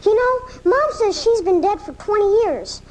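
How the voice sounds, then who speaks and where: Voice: very high voice, animated, normal speed. An actor voicing a sickly, lonely, eager schoolboy in a 1980s live radio drama, on a home recording taken off the air. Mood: earnest. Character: sickly, lonely, eager schoolboy who adores his dog.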